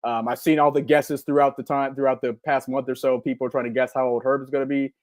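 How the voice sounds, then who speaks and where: A man's voice: mid-range voice, level tone, fast talker, dry recording. Upbeat rambling man in his forties. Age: 40s. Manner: rambling and upbeat, full of ums and repeats. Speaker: podcast co-host. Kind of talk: casual live-stream chat reading chat comments.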